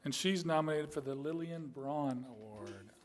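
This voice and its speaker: A man moderating a writers' panel, introducing the panelists in a halting way with many fillers. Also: fairly high pitch, expressive, a little hiss or hum. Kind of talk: hesitant introductions.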